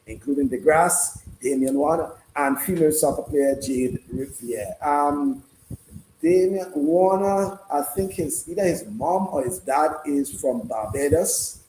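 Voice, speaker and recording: A man hosting a casual live sports discussion, speaking haltingly and repeating himself. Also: fairly high pitch, darkish tone. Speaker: sports radio host. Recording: roomy, noisy room sound.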